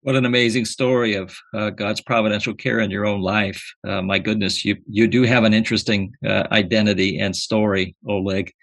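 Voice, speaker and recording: A man with a lowish voice hosts the interview and asks questions in an earnest, appreciative way. His voice sounds close and dry, with no room around it. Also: flat delivery, a little fast, vocal fry, hardly any pauses, a bit bright, dry recording. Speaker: interview host.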